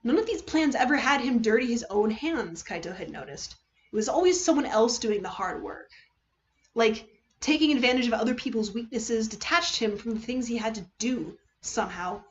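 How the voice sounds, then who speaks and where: High-voiced woman narrating a story dramatically. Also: lively intonation, normal speed, highs cut off. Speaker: streamer reading fan fiction.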